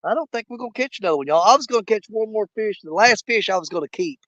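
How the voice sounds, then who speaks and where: A man hosting a fishing live stream outdoors at a small lake, hoarse and losing his voice, rambling in a folksy way straight to his viewers. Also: high voice, lively intonation, quick pace, fairly bright tone, no echo, highs cut off. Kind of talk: casual live-stream chat.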